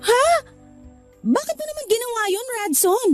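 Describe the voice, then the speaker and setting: A sharp-voiced young woman in a radio drama. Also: very high-pitched, animated, normal speed, dry recording, faint music behind. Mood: worried. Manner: teasing, then scolding. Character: young woman working abroad, practical, a little irritated. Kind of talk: Tagalog radio drama acting.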